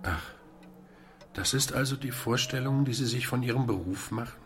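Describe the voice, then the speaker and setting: A man playing a calm, resolute volunteer organiser in a German radio play, with a deliberate, questioning delivery. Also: medium pitch, a little hiss or hum, lightly acted. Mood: probing, calm.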